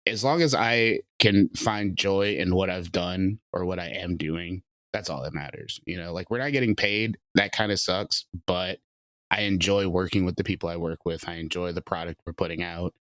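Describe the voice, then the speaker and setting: Very deep-voiced laid-back man. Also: normal speed, fairly bright tone, no room sound. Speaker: podcast writer. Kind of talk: casual podcast discussion.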